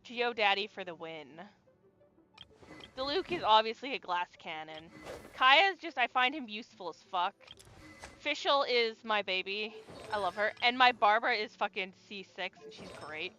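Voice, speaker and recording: A woman's voice: medium pitch, lively intonation, moderate pace, bright, forceful tone, faint music behind. A young adult woman streaming a game, chatting casually and rambling, swearing freely as she goes. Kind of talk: casual gaming chat.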